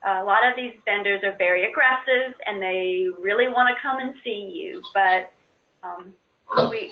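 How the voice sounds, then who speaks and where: A woman presenting on an instructional conference call, explaining things informally and somewhat haltingly. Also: medium pitch. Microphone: conference call line. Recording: muffled narrow-band conference phone line.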